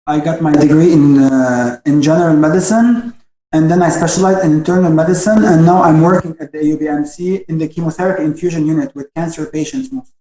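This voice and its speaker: A young doctor from Lebanon talks over a video call, his voice sitting high. He stops and restarts his sentences often. The sound comes from an echoey room. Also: fairly even intonation, warm tone. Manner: halting, frequent restarts and stammers. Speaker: guest doctor. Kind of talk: webinar interview answers.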